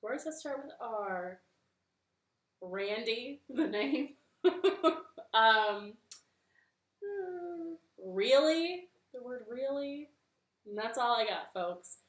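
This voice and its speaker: A woman's voice: on the high side, animated, extremely slow, long pauses, echoey room. A woman hosting a library storytime, reading to children in a cheerful, sing-song way from her living room.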